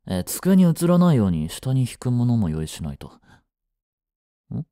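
A young man acting a jealous, possessive yandere boyfriend in a romantic voice drama, with a soft, coaxing, controlling manner that is sweetly menacing. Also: mid-range voice, very animated, normal speed, no echo, studio quality, natural voice. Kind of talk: Japanese romantic situation voice drama.

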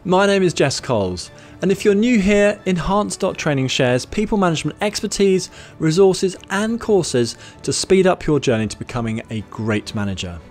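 A British man in his thirties delivers a scripted training talk to camera, his voice lively and rising and falling. He speaks close to a studio mic in a measured, instructive way. Soft music plays beneath him. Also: high voice. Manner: measured, instructive, lists points in order. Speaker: management trainer. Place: studio with plain grey backdrop. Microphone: studio mic on boom arm in front.

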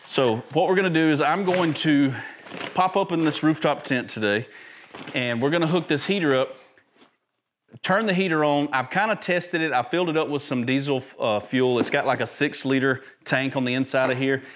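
A man's voice: on the high side, moderate pace, slight background noise. Chatty man demoing gear. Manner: chatty, enthusiastic, filler-heavy, restarts often. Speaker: YouTube camping and gear host. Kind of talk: casual product demo to camera. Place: large garage workshop with tool chests, indoors.

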